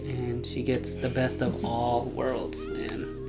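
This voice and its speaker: Calm earnest young man. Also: mid-range voice, takes it slowly, loud music behind the voice. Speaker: co-host, husband. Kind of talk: casual couple Q&A chat.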